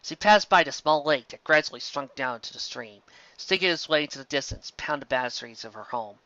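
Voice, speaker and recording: A man reading fan fiction aloud as an amateur narrator, expressive and occasionally stumbling over words. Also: fairly high pitch, fairly quick, no echo, highs cut off.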